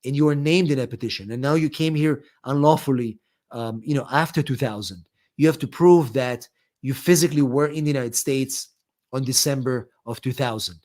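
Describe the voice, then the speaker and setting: A man's voice: medium pitch, brisk, darkish tone. A middle-aged man from Israel with an Israeli accent, an attorney hosting a live question-and-answer stream, talking casually with many fillers and restarts.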